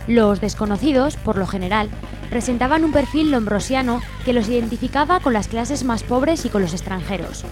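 A woman with an accent from Spain, presenting and reading scripted material as a radio co-host in a studio. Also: on the high side. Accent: Spanish, from Spain. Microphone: studio microphone. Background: music.

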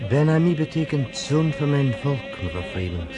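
A man's voice: a little high, very animated, moderate pace, over music. An actor playing an earnest, polite man explaining plans in a radio drama.

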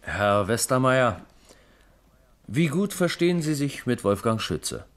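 An actor playing a calm, formal police lieutenant who questions persistently in a German radio crime drama. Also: male voice, medium pitch. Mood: probing, insistent. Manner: measured, probing, formal questioning.

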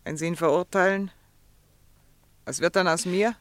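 Actress as desperate, determined pleading wife. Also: female voice, fairly low pitch, fairly even intonation, moderate pace, dry recording, natural voice. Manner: earnest, insistent, pleading. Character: Mrs Parsons, desperate, determined wife of an accused man. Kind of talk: German radio drama acting.

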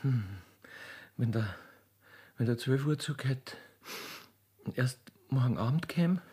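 A man's voice: medium pitch, lively intonation, slow, mellow, breathy edge, voice pushed down, heavily acted. A man playing a naive, lovestruck young Alpine girl with an Austrian accent and a shy stammer in a radio play. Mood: smitten. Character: naive, lovestruck young railway keeper's daughter from the Alps.

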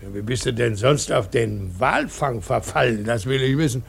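An actor playing a blustering old whaling captain in a German radio play, gruff, bullying and mocking. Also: male voice, mid-range voice, moderate pace. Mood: mocking, aggressive.

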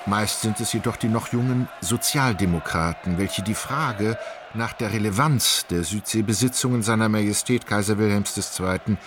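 A man narrating a literary radio drama in a measured, wry manner. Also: lowish voice, background noise, close to an everyday voice. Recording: studio voice over clear music.

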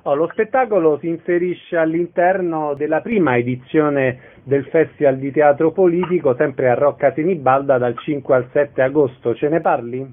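A man's voice: fairly high pitch, moderate pace, hardly any pauses. A man working as a radio interviewer, speaking in a concise broadcast style. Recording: narrow, phone-like radio sound.

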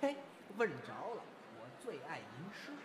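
Man playing a lively, cheeky young crosstalk comedian. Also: a little high, moderate pace. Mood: comic boasting. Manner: theatrical, teasing, playful. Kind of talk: crosstalk comedy dialogue in audio drama. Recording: loud background noise.

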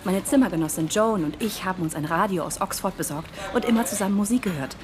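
A woman's voice: medium pitch, fast talker, crowd noise, lightly acted. An actress playing an earnest, polite young woman in the wartime resistance, chatty and eager, in a naturalistic radio drama. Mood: happy, eager.

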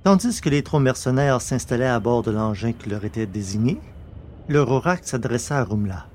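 A man narrating a science fiction story from a script in a measured, literary manner. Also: mid-range voice, animated, a little mellow, a little hiss or hum.

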